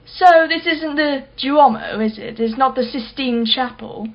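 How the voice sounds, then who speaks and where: Woman acting as rich female art collector, high-set voice, articulate, haughty, sharp-tongued, radio play, slightly dull audio. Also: unhurried. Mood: disdainful. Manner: articulate, imperious, sardonic.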